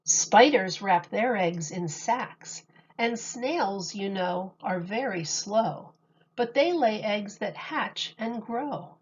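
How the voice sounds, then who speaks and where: A woman reads a children's picture book aloud like a teacher, taking her time over each phrase. Her medium-pitched voice has a slightly husky edge, and her delivery is expressive and deliberate. The recording sounds a little dull and lo-fi.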